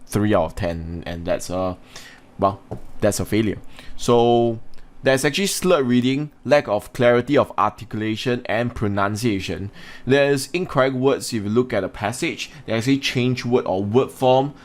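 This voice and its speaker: A man teaching a lesson, explaining and instructing as he comments on exam performance. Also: lowish voice. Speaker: English teacher.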